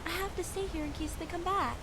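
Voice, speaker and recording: A woman's voice: extremely high pitch, fast, background noise. A woman playing a stubborn, sarcastic young girl who has been left on her own, in video game dialogue. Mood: pleading. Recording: loud music over the voice.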